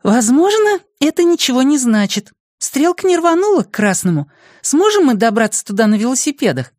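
Man voicing a high-pitched, hesitant young girl. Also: big pitch swings, moderate pace, a little mellow, no room sound, studio quality. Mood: doubtful. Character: young girl, inventive, tentative yet tough.

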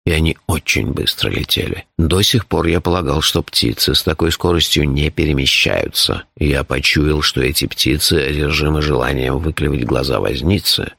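Man voicing an imperturbable, very deep, gravelly gentleman. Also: no echo, clean studio sound, heavily acted. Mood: calm, phlegmatic. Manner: calm, slow, measured, deadpan. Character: imperturbable, phlegmatic male companion with precise, formal speech. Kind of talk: audiobook character voice.

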